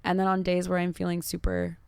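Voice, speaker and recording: A woman with a naturally raspy voice, chatting openly and reflectively as a podcast guest, with lots of fillers. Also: lowish voice, completely flat delivery, brisk, dry recording. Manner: open, reflective, heavy use of fillers. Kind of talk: casual podcast chat.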